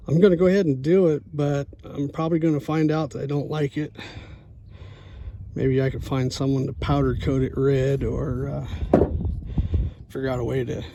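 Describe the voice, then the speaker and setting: A middle-aged man talking through a DIY job in a casual, halting, plain-spoken way, thinking out loud. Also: medium pitch, flat delivery, dry recording, highs cut off. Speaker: truck driver, DIY vlogger.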